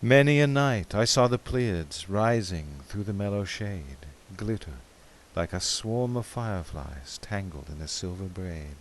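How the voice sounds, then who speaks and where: A man giving a dramatic poetry recitation in a measured, theatrical, expressive way, with drawn-out phrases. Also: low-pitched, a little hiss or hum. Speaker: poetry reader.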